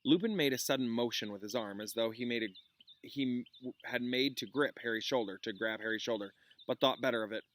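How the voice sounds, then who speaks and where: A man's voice: mid-range voice, fairly quick, a bit bright. A man, a classroom teacher, reading a story aloud and adding explanatory asides.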